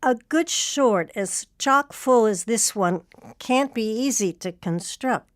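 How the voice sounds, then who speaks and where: A woman interviewer speaks at an unhurried pace in a dry, close recording. She is articulate and measured, posing thoughtful questions as an arts host. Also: fairly high pitch, animated, no room sound.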